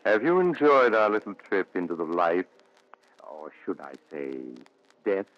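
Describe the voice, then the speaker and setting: 낮고 음산한 이야기꾼. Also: male voice, sits low, big pitch swings, no room sound. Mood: slyly macabre. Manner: measured, ominous, theatrical. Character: sinister, genial storytelling male traveler; also grave doctor. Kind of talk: 1940s radio thriller narration and acting. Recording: muffled 1940s radio recording.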